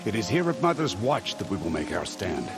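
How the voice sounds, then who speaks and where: Haughty hot-headed war chief in a game, pitch swings all over, music behind. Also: male voice, sing-song, a little fast. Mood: haughty, scornful. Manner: haughty, sneering, declamatory. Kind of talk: dramatic video game acting.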